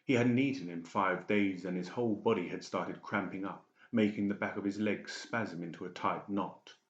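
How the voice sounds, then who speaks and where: Low-voiced male narrator, echoey. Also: steady tone, normal speed. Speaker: audiobook narrator. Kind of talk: scripted dramatic narration. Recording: muffled, echoey home recording.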